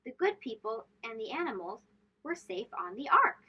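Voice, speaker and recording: High, very lively woman reading to children. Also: moderate pace, slightly rough, highs cut off. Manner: addresses young listeners with questions, emphatic storytelling delivery. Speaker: host of a children's read-aloud show. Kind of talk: children's storybook read-aloud.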